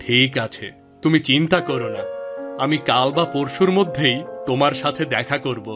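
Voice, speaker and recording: A man's voice: mid-range voice, normal speed, music in the background, lightly acted. A man voicing a practical, good-natured village husband in scripted cartoon dialogue. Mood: eager, reassuring. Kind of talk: scripted cartoon dialogue in a Bengali folk tale.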